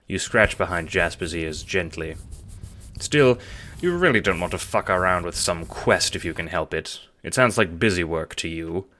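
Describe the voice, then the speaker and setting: A man's voice: low voice, expressive, moderate pace, pushed and bright. A man narrating a scripted story in the second person, in the style of an audio drama or audiobook. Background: music.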